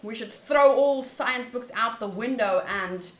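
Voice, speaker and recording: A woman streamer chatting casually to viewers during a game playthrough, in an animated, opinionated and wandering way, often rephrasing mid-thought. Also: on the high side, unhurried. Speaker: streamer playing a visual novel. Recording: echoey room, faint background noise.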